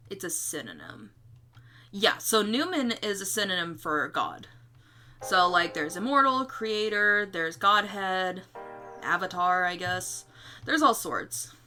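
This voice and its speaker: Woman, bright voice, mid pitch, casual livestream host, giggly, rambling, faint background music. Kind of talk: casual livestream chat about own story notes.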